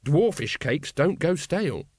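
A man's voice: a little high, sing-song, measured pace, husky, no echo, voice pushed up, full character voice. A man voicing an earnest, literal-minded young watchman, polite, eager and guileless, in a storybook reading. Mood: proud, cheerful.

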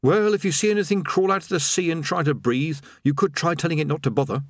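A man's voice: a little high, quick pace, no echo, voice pushed up, lightly acted. A man voicing a gloomy, cowardly wizard in an audiobook reading, dry and matter-of-fact. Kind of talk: audiobook character voice.

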